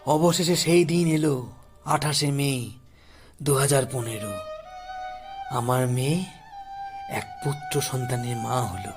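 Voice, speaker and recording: Slow, grieving old man, acted by a man. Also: medium pitch, music in the background, voice pushed down, lightly acted. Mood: sorrowful. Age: older. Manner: slow, grave, halting delivery with pauses. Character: grieving, wronged poor old village grandfather, grave and accusing. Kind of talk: audio horror story acting.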